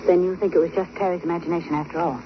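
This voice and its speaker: An actress playing a plucky young female companion to a sleuth, expressive and ladylike in 1940s radio style. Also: on the low side, racing, close to an everyday voice. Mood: willing, wry. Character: game young woman, sleuth's companion, nervous but willing. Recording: muffled, noisy vintage radio recording.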